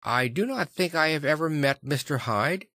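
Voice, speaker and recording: Guy doing a worried earnest gentleman lawyer from an old storybook, musing, very animated, dry sound. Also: a little high, moderate pace, dry recording, voice pushed up. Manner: musing, emphatic. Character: perplexed gentleman lawyer, brooding, earnest, middle-aged man. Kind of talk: dramatic audiobook character reading.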